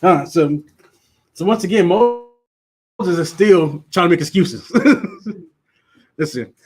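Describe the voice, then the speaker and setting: A man's voice: sits high, slow, a little mellow, husky. A man who stutters and repeats words, casually teaching a Bible class and laughing often. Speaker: teacher leading a Sabbath class.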